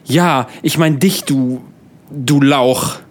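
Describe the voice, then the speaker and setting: A man's voice: mid-range voice, takes it slowly, lightly acted. A man playing a frantic, loyal slacker friend in a comedy audio drama, exclamatory, slangy and melodramatic. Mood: exasperated.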